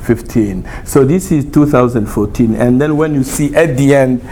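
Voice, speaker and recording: A middle-aged man from Congo with a Congolese accent, a university professor giving a lively, informal talk to a small group, often laughing and switching languages. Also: medium pitch, moderate pace, slight background noise. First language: Chiluba and Lingala.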